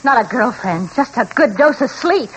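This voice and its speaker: A slightly noisy old radio drama recording. An actress plays a brash young woman hitchhiker with a high, swooping voice. She is snappy, mocking and scornful. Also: expressive, moderate pace, natural voice. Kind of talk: 1940s radio drama acting.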